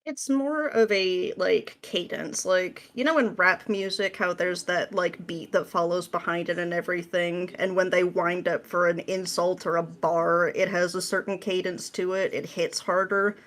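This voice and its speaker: A woman's voice: on the low side, fairly even intonation, moderate pace, hardly any pauses, a bit bright. A woman speaking as an interview guest, explaining things in a casual, playful, slangy way. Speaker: podcast guest, script writer.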